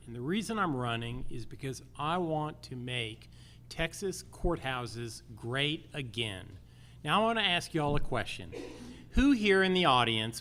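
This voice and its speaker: A man's voice: medium pitch, fairly bright tone. A man giving an emphatic campaign speech as a judicial candidate, addressing his audience directly. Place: theater, live audience.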